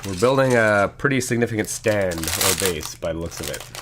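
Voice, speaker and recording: A man with a hoarse, congested voice from a sinus infection, casually chatting through a live stream while building a set and reading the chat. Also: sits low, expressive, moderate pace, very noisy. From Canada. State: losing his voice from a sinus infection.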